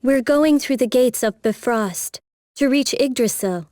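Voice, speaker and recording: A woman's voice: sits high, a little slow, darkish tone, no echo, studio quality. A woman voicing an earnest, resolute young princess in a video game cutscene. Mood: earnest, gentle.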